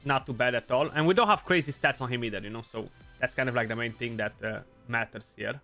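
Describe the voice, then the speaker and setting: A young man with a Romanian accent talks through a game match in a casual, thinking-aloud way. His voice is bright and forward, at a middle pitch for a man. Faint music plays under him. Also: fast talker. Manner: casual, thinking aloud, frequent "you know". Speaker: gaming YouTuber. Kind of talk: gameplay commentary.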